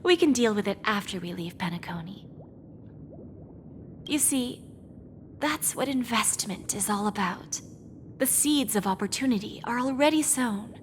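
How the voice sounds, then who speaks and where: A woman voicing a poised, shrewd female pawnshop boss in a video game, deliberate and teasingly courteous. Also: mid-range voice, expressive, big-room sound, slight background noise, lightly acted. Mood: measured, knowing. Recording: background music under the voice.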